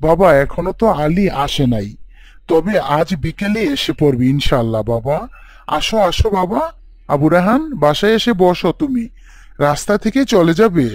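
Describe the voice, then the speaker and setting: A man's voice: a little high, animated, moderate pace, no room sound, lightly acted. A man voicing a kindly village father. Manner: affectionate, calls everyone "son". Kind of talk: animated story dubbing.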